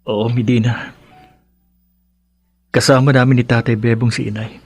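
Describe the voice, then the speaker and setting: A man's voice: medium pitch, flat delivery, normal speed, slightly rough, no echo. A man playing a devoted, caring young husband in a Filipino radio drama. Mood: loving.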